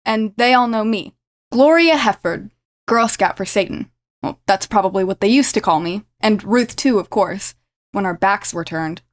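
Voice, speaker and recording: A woman's voice: mid-range voice, expressive, moderate pace, close to an everyday voice. An actress playing a chatty, sardonic woman in her late twenties in an audio drama. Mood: wry, gossipy. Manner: wry, chatty.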